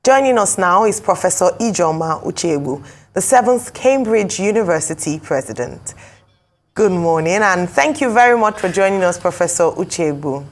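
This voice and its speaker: A woman's voice: lowish voice, lively intonation, normal speed. A woman from Nigeria anchoring a TV news interview in a formal, polished manner. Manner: formal, warm praise. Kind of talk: broadcast interview.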